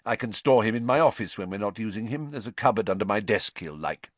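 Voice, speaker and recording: A man voicing a gentle, tired middle-aged teacher who explains things patiently. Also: on the low side, lively intonation, fast, dry recording.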